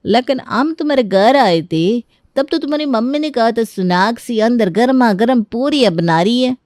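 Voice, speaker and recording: A woman voicing an earnest, expressive young daughter-in-law in a Hindi cartoon story, pleading and eager. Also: on the high side, normal speed, dry recording, studio quality, natural voice. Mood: confessing.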